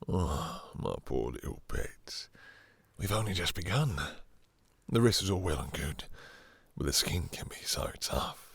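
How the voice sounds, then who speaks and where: Slow, deep, husky vampire master, acted by a man. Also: fairly bright tone, exaggerated voice. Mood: sensual, predatory. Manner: slow, theatrical, condescending, purring menace. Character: possessive, domineering male vampire master, seductive and menacing. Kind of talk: intimate scripted roleplay audio drama.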